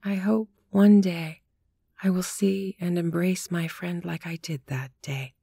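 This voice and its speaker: Woman narrator, deep voice, warm mellow tone, gentle measured storytelling. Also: fairly even intonation, vocal fry, husky, dry recording. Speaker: narrator reading a listener's story. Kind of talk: calm scripted story narration.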